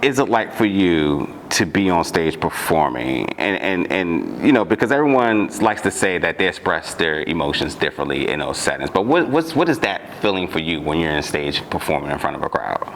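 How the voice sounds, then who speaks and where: A man's voice: deep voice, big pitch swings, fairly quick, few pauses, a bit bright, slight background noise. A man interviewing a guest, friendly and chatty, asking long rambling questions with casual slang.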